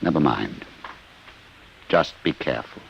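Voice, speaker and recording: An actor playing an embittered, obsessed old scientist in a radio drama, grave, intense and defiant. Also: male voice, extremely low pitch, lively intonation, a little slow, vocal fry, muffled recording, heavily acted. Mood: grim warning. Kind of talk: 1950s American radio drama acting. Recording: noisy, hissy old radio recording.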